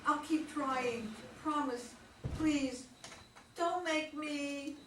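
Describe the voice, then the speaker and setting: A woman reads a frightened young wife who pleads in a very slow, soft, somewhat high voice. It is heard from a distance across a roomy stage, with steady noise behind it. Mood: pleading. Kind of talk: readers theatre play reading. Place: stage in a theatre.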